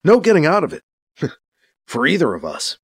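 A man acts out a wry fellow in a dramatic story reading. He is sarcastic and a little halting, putting good cheer over his unease, and his pitch jumps about expressively. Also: wildly swooping pitch. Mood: awkward cheer. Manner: halting, joking, sardonic.

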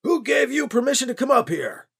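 A man voicing a gruff older male landowner with a shotgun, who shouts and sounds stern and suspicious. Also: high voice, sing-song, brisk, pitched above the performer's own voice, heavily acted. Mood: stern shouting. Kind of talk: audio drama reading.

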